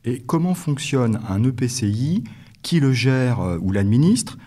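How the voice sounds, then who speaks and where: A man's voice: medium pitch, big pitch swings, measured pace, dark tone. A man hosting an educational podcast, putting prepared interview questions to his guest. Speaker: podcast host asking questions.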